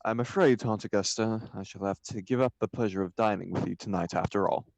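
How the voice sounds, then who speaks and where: A man voicing a flippant, witty young gentleman dandy, airy and theatrical, in a stage comedy table read. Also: lowish voice, a little fast, creaky, no room sound, poor audio. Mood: feigned regret.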